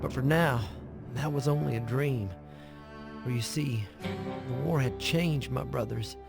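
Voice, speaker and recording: Earnest, gravelly preacher brother. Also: male voice, mid-range voice, a little slow. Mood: solemn, regretful. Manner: solemn, preacherly, pleading. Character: earnest, devout younger brother in the Old West, pleading peacemaker. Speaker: character and narrator in a western game. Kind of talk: cinematic game acting and narration. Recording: music and rain behind the voice.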